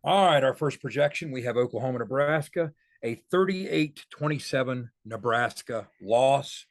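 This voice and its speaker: A man's voice: mid-range voice, normal speed, no echo, clean studio sound. A man giving casual football analysis as a podcast co-host, in a folksy, explanatory way.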